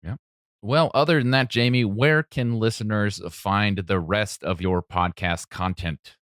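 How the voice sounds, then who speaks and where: Podcast host guy, lowish voice, casual chat, no echo at all. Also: measured pace, a bit bright, clean studio sound.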